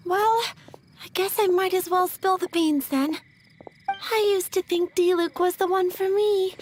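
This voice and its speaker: A woman's voice: very high voice, a little hiss or hum. A woman playing a lovesick young flower girl in a video game, dreamy, flustered and hesitant. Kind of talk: video game character acting. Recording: music behind the voice.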